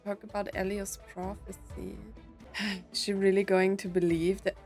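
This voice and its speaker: Female streamer, mid-pitched, casual and reactive let's-play chat, thinking aloud over game music. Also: level tone, moderate pace.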